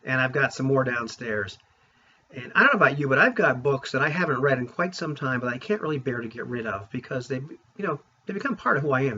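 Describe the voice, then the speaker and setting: A man talking casually to viewers as a tabletop gaming vlogger, in an informal, rambling, opinionated way, with a husky voice. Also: medium pitch, pushed and bright. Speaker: vlogger on tabletop roleplaying games. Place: room at home. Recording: dull, lo-fi sound.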